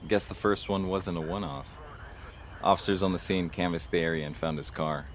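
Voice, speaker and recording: A dry-witted detective, played by a man, speaks in a low, unhurried voice with a wry edge. Clear street and traffic noise runs underneath him. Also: fairly even intonation, natural voice. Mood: matter-of-fact. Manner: dry, wisecracking. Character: dry-witted male police detective partner. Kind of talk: audio drama dialogue.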